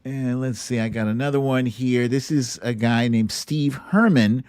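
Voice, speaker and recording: A man's voice: mid-range voice, a little slow, a little mellow, clean tone, dry recording, studio quality. A man hosting a casual political commentary livestream in a chatty, incredulous, amused way.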